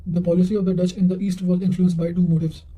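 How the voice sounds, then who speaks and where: A man reading quotations aloud for exam revision in a measured way, sometimes stumbling and repeating words. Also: high-pitched, little pitch movement, mellow, rough recording. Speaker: narrator of exam revision notes.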